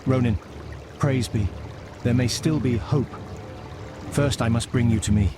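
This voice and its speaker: A man's voice: medium pitch, background noise, lightly acted. A man playing a grave, wise wizard mentor, speaking solemnly in a fantasy game cutscene. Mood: solemn hope. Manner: solemn, measured exposition. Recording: very loud music over voice. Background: loud music.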